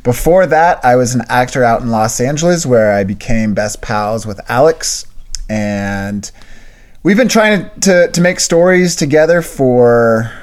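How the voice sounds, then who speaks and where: A young man co-hosting a podcast, chatting casually and telling a story with enthusiastic bursts. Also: mid-range voice. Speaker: podcast co-host. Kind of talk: casual chat.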